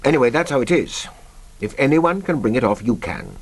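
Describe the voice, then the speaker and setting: A male actor playing a brisk, authoritative senior British intelligence chief in a radio play, with a husky, clipped delivery. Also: mid-range voice, big pitch swings. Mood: brisk, encouraging. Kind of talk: BBC radio play acting.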